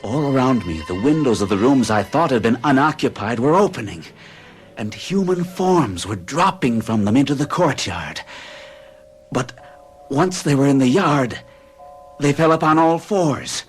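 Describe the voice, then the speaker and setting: A man's voice: medium pitch, very animated, a little slow, faint music behind. An actor narrating and playing an earnest, alarmed man in an old radio drama. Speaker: narrator and protagonist. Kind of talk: 1970s radio drama acting.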